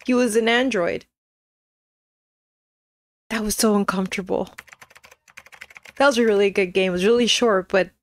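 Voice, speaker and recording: A woman giving casual, nervous commentary as she plays a horror game, thinking aloud. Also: mid-range voice. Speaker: gaming video host.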